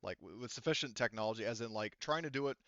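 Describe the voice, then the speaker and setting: Male game master, bright and clear voice, halting casual explanations, dry but slightly lo-fi sound. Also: lowish voice, animated, no room sound. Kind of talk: casual tabletop game narration.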